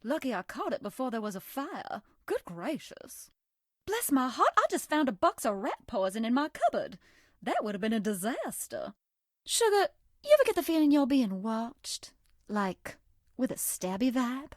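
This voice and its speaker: A sugary, high-voiced Southern belle. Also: female voice, very animated, normal speed, no room sound, clean studio sound. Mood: worried. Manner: coy, sugary, folksy. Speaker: voice actress. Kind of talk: video game character voice lines.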